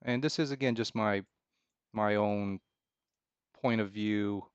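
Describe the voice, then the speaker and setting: A man talks off the cuff in a smooth, clean voice at a middling pitch. He feels his way through each thought, hesitant and rambling, often repeating himself. The sound is close and dry, though a little dull, like a casual stream from a desk. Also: slow pace, no echo. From Romania. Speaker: game developer streaming. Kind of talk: casual unscripted chat, answering viewers' questions.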